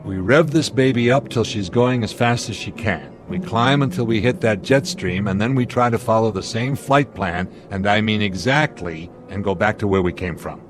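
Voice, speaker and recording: An actor playing a steady, authoritative airline captain in a radio drama, reasoning deliberately and giving commands. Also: male voice, lowish voice, music in the background, natural voice. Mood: grim, determined.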